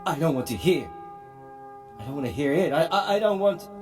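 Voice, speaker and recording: Male actor as brash imprisoned starship captain in an audio drama, clipped, challenging, very animated, scored with music. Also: on the high side, a little fast, pitched above the performer's own voice. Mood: anguished. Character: defiant starship captain held prisoner, brash and vibrant. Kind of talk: dramatic audio comic acting.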